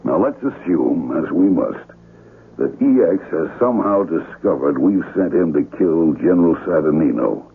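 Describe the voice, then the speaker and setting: An actor playing a cold, calculating male spymaster in a 1970s radio drama, deliberate and authoritative. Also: very deep voice, creaky, husky, phone-quality sound, full character voice. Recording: thin, band-limited vintage radio recording.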